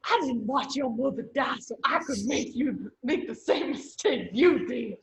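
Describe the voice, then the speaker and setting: A woman's voice: high voice, expressive, a little slow. A woman playing a weary, stern, protective parent who once raced, in a table read over a video call. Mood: bitter. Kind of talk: table read of fan fiction script.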